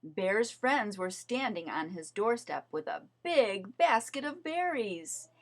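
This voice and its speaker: A woman reading a children's story aloud as narrator, in an expressive, measured storytelling manner. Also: mid-range voice. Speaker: storybook reader.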